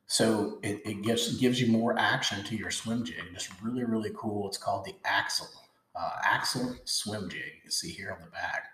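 A man's voice: on the low side, normal speed, bright, forceful tone, reverberant, poor audio. A man in his forties hosting a fishing channel, chatting eagerly as he unboxes lures and often repeats himself. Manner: enthusiastic, chatty, repeats words. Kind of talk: casual product unboxing. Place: indoor room with logo backdrop.

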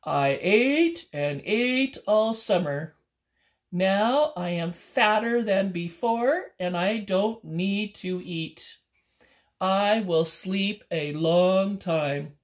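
Woman doing a drowsy, slow storybook bear. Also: on the low side, lively intonation. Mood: sleepy. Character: sleepy, well-fed bear settling in for winter.

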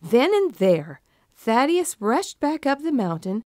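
A woman narrates a children's storybook with a very animated pitch and a measured pace. Her delivery is expressive and deliberate, captured in a dry, close sound. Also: no room sound.

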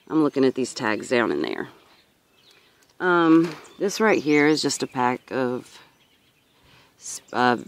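A woman's voice: rumbling low, a little mellow. A woman in her thirties giving a casual, rambling vlog-style tour as a gardener, recorded outdoors on a handheld camera. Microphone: handheld camera or phone.

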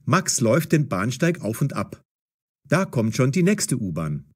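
Expressive, slightly husky male story narrator. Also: mid-range voice, unhurried. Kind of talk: scripted narration of a language-learner story.

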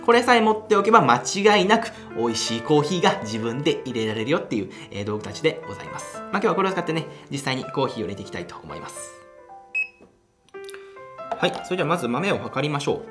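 A man's voice: a little high, sing-song, quick pace. A young man hosting a coffee channel, chatting enthusiastically as he demonstrates brewing in a small home café room. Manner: enthusiastic, chatty, laughs while explaining. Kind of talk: casual how-to demonstration. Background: background music.

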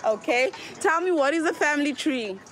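A woman interviewing people on the street, upbeat and quick with her questions and thanks. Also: high-pitched, animated. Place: outdoors on a street. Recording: street recording with faint noise.

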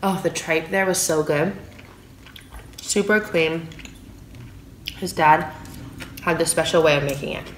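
A woman in her thirties talks casually between bites of food at her dining table. Her voice sits a little low and relaxed, picked up by a phone in an echoey room with a faint background hum. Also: a little slow, pauses often. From San Francisco, USA. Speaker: food vlogger. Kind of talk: casual eating vlog. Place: small room at home, indoors at a dining table. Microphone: phone camera on the table.

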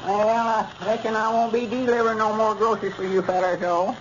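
A proud, cranky old country grandpap, put on by a male actor in vintage radio comedy. The voice is pitched high and stubborn, with a folksy rural Arkansas accent. The recording is dull and noisy. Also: flat delivery, brisk, lightly acted. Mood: matter-of-fact. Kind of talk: 1930s-40s radio comedy acting.

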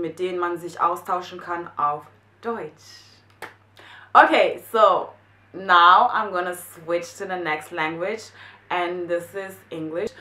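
Twenties German-native woman vlogger, chatty and quick, bright tone, roomy bedroom sound. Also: mid-range voice. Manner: chatty, quick, with fillers and restarts. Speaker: language vlogger. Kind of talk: casual explainer vlog to camera. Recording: echoey room.

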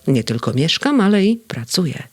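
A reader voicing a gentle, kindly male sandman in a children's audio play, speaking softly and calmly. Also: medium pitch, normal speed, husky, dry recording.

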